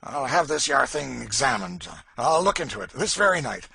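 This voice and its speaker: A man voicing a brutal, frightened plantation master who blusters, curses and stammers loudly. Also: medium pitch, very animated, normal speed, gravelly, husky, voice pushed up, full character voice. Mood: blustering, scared. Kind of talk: audiobook character voice.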